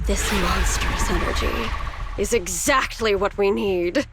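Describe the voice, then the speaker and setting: A woman's voice: medium pitch, slow pace, over music. A woman playing a smug, scheming villainess in a video game, theatrical and taunting, with cool menace. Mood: gloating. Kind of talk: theatrical scripted game dialogue.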